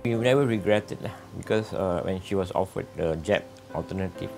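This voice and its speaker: A man's voice: low-pitched, brisk, vocal fry, over music. A man speaking plainly as a caregiver son. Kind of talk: interview testimony.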